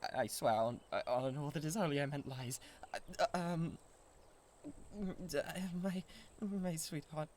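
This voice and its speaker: A man's voice: a little high, a little slow, a little mellow, slight background noise, close to an everyday voice. A man with a British accent playing a lovesick, possessive giant who stammers and gets defensive in a comic audio roleplay. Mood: defensive, panicked. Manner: stammering, pleading, easily riled defensiveness.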